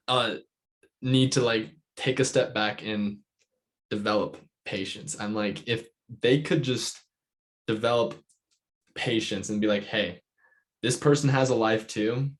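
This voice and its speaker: Podcast host guy, lowish voice, casual chatty, says like a lot. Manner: conversational, frequent likes and rhetorical checks. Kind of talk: casual interview chat.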